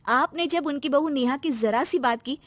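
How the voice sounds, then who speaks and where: A woman's voice: high voice, moderate pace, fairly bright tone, dry recording, voice pushed up. A woman voicing an outspoken, easily hurt young daughter-in-law in a family moral story, with an emphatic manner. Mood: aggrieved. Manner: pointed, complaining, emphatic.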